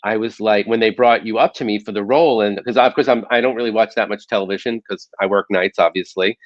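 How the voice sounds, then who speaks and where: A man's voice: fairly low pitch, fairly even intonation, fast, no room sound, highs cut off. A man hosting a casual interview, rambling and laughing easily as he talks with his guest. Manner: warm, rambling, laughs often. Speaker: host.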